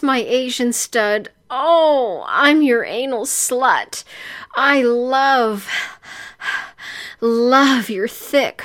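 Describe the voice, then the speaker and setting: Adult superheroine for erotic audio female performer: raised pitch, loud, breathy, gasping and overwrought delivery. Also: full character voice. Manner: breathy moaning, crying out, gasped exclamations. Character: bound comic-book superheroine, adult Amazon woman, loud and overwrought. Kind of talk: erotic audio story character acting.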